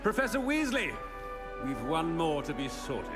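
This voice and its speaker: Haughty high-voiced headmaster, swooping delivery. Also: male voice, very animated, unhurried. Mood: brisk. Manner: pompous, curt, sneering. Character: haughty, impatient male headmaster of a wizard school. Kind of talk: cinematic video game acting. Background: orchestral game music.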